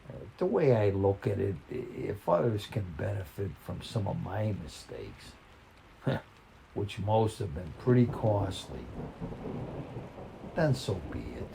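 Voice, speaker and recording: An older man reading a personal story in a folksy, plain-spoken, conversational way, with halting, reflective pauses. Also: low voice, very animated, gravelly, dark tone, slight background noise, low-quality recording.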